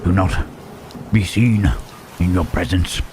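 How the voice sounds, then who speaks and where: Gentle lonely creature played by a man, very low voice, slow halting delivery, ASMR roleplay, rain and thunder behind. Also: steady tone, slight background noise. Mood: relieved, halting. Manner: halting, hushed, careful speech with long pauses. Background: rain and thunderstorm ambience.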